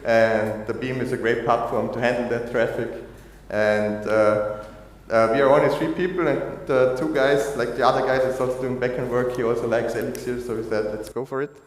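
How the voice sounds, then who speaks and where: A man from Austria giving a technical conference talk, halting with many fillers and restarts. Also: mid-range voice. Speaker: conference speaker. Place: conference hall. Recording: echoey hall, long reverb.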